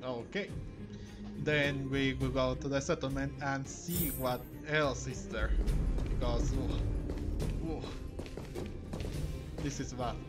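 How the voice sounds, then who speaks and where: A man's voice: medium pitch, steady tone, slow, long pauses. A man giving casual video game commentary and reading on-screen text aloud. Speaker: gamer streaming a playthrough. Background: loud game music.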